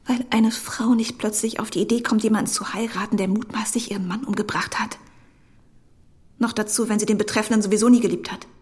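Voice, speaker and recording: A woman's voice: mid-range voice, fairly even intonation, a little fast, a little mellow, lightly acted. An actress in a German radio drama playing an anxious, devoted young woman in love, hesitant and pleading yet persistent. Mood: hurt, confessing.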